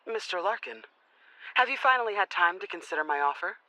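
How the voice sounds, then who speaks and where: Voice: mid-range voice, lively intonation, a little fast, bright, forceful tone, no room sound, muffled recording. An actor playing a manipulative corporate official in an audio drama, controlled, condescending and coolly persuasive. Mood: businesslike.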